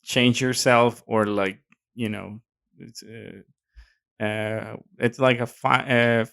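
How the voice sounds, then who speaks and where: A man's voice: medium pitch, fairly even intonation, takes it slowly, gravelly, a bit bright, dry recording, studio quality. A man with a Mexican accent co-hosting a podcast, talking casually with many fillers and hesitations. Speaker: podcast co-host. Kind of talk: casual chat.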